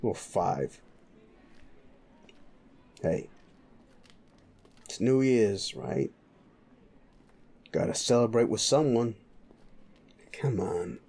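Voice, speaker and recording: A man's voice: on the low side, extremely slow, long pauses, a little mellow, heavily acted. A man playing a cocky, sleazy werewolf drinking buddy in an audio roleplay, swearing, teasing and rambling at the listener. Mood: casual, friendly.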